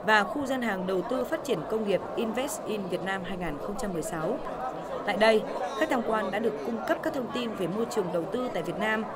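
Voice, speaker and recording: A woman narrating a television news report in a steady, formal reading voice. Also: mid-range voice, fast talker, a loud crowd. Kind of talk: scripted news voice-over.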